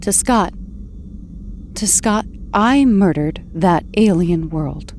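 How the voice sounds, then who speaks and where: An actress playing an anguished but determined heroine in an audio drama, with an emotional, pleading delivery. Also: female voice, fairly low pitch, expressive, slow pace, darkish tone, slight background noise. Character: anguished, determined heroine back from presumed death.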